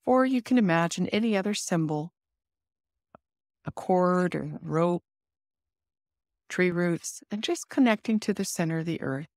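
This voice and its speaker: Low-pitched woman guiding meditation. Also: darkish tone, no room sound, studio quality. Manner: soothing, instructive, with deliberate pauses. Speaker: meditation guide. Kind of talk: guided meditation narration.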